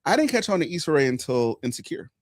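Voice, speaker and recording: Animated man hosting a live chat. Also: on the high side, quick pace, no room sound, clean studio sound. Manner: animated storytelling, emphatic asides. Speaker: podcast host.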